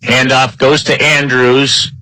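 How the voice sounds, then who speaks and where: A man's voice: mid-range voice, little pitch movement, slow pace, bright, forceful tone, dry recording, poor audio. A man with an American accent doing live play-by-play commentary of an American football game. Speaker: play-by-play sports announcer.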